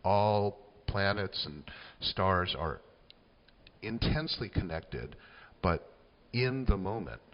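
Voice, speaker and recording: A man in his sixties hosting a casual spiritual monologue, musing and unhurried, with many fillers like "you know" and "uh.". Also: sits low, animated. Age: 60s. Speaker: channel host. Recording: echoey, dull lo-fi room sound.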